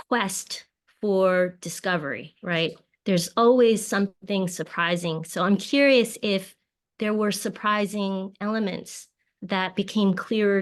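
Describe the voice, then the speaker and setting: A woman hosting an interview, asking follow-up questions in a measured way, searching for words. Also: medium pitch. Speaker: interviewer, event host.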